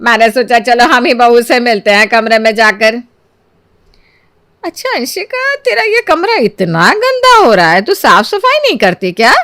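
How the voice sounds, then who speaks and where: A sly elderly mother-in-law from a cartoon family tale, acted out by a woman. The voice sits fairly high and sounds very dry and close. She scolds theatrically, with sarcasm and bossy needling. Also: very animated, normal speed, bright, forceful tone, no room sound. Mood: needling, falsely sweet.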